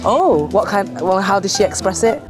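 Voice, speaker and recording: A woman works a busy street as an interviewer, firing off short follow-up questions in a playful, teasing way. Her pitch sits in an ordinary middle range. Music plays behind her. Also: expressive, moderate pace. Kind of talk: casual vox-pop questioning. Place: busy city street outdoors.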